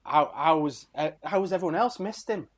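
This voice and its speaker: A man's voice: a little high, lively intonation, fairly quick. A man co-hosting a casual football podcast, talking in a loose, hedging way and often ending on tag questions. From Crewe, England. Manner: conversational, hedging, ends lines on tag questions. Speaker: podcast co-host.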